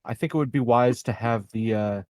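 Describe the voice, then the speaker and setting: Guy playing a tabletop rpg, thinking out loud, hesitant, medium pitch, dry mic. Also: moderate pace, dry recording. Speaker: tabletop game player. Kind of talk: casual chat.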